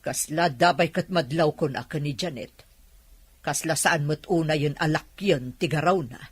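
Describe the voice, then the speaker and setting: A woman playing a shrewd, gossipy middle-aged employer in a radio drama, with a husky voice. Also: deep voice, normal speed, no echo. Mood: knowing, gossipy.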